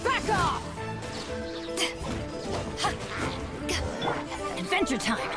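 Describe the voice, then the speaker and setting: A woman voicing an excitable, fiery young girl fighter in a fantasy game, with a girlish voice. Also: moderate pace. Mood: feisty, excited. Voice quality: high, girlish. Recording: clear game music and battle sounds.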